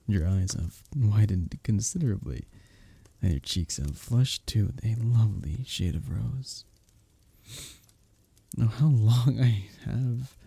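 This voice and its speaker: Man playing a dark-voiced, breathy, flirtatious medieval innkeeper. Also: lowish voice, no echo, full character voice. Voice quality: breathy, soft. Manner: flirtatious, courtly, soft chuckles between phrases. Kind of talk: intimate ASMR roleplay with flowery old-fashioned speech.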